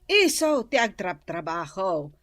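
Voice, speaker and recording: A woman's voice: mid-range voice, big pitch swings, takes it slowly, a bit bright, no echo. An actress playing an impatient mother scolding her lazy grown son in a radio drama.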